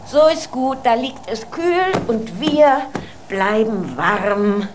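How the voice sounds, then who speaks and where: A woman playing a soothing, practical, protective mother figure in a children's radio drama, talking in a hushed voice that often turns to whispering. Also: fairly high pitch, animated, slow pace, noisy background. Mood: soothing, sleepy. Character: soothing, practical wife called Mama, gently protective.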